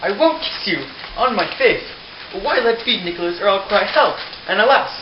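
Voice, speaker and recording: A man acts out a wild, coy young wife from a puppet-show tale, pitched well up and protesting dramatically. The sound is echoey and dull. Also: animated, moderate pace, a little hiss or hum. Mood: indignant, coy.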